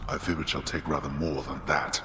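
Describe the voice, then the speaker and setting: An actor playing a stern, scornful old god of the dead in a video game, imperious and disdainful. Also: male voice, rumbling low, flat delivery, fairly quick, sharp tone, slight background noise. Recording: long echoing hall, faint music.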